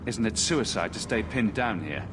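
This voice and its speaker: A man's voice: lowish voice, lively intonation, normal speed, background noise, lightly acted. A man playing a bold adventurer leader in a video game, direct and questioning.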